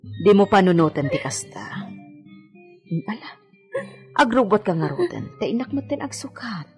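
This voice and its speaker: A woman's voice: mid-range voice, very animated, normal speed, a little mellow, soft background music. A woman with an Ilocano accent acting a practical, reassuring housekeeper in a radio drama, coaxing and giving advice.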